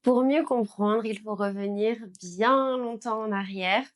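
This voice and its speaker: A woman's voice: medium pitch, unhurried. A young woman with a Belgian French accent tells her own story to camera in a chatty, candid way, with frequent small fillers. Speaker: beautician and business owner. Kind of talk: personal story told to camera.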